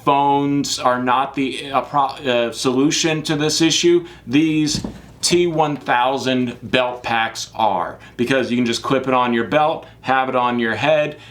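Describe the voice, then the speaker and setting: A man with a mid-pitched voice presents a product review to camera. He is chatty and informal, leaning on certain words for emphasis and dropping in casual asides as he explains the equipment. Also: slow, fairly bright tone. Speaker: product reviewer, live sports production crew. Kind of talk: casual semi-scripted gear review to camera.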